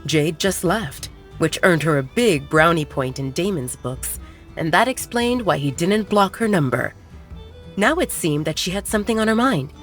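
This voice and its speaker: A woman reads a romance audiobook in a scripted storytelling style. Her voice is lower than most women's, yet it rises and falls expressively. Faint music plays underneath. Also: expressive, moderate pace. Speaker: narrator.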